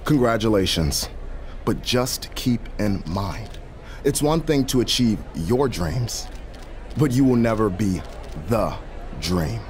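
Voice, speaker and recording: Velveteen Dream, a slow, ominous wrestler. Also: male voice, fairly low pitch, lively intonation, darkish tone, slight background noise. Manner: slow, drawn-out, ominous delivery. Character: smug veteran male wrestler, cryptic and theatrical.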